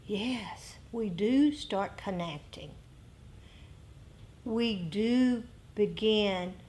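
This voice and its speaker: A woman giving an unscripted dharma talk as a meditation teacher, with deliberate, emphatic phrasing and some repetition. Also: medium pitch. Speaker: meditation teacher leading group discussion. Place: group meditation meeting room. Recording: clear background room noise.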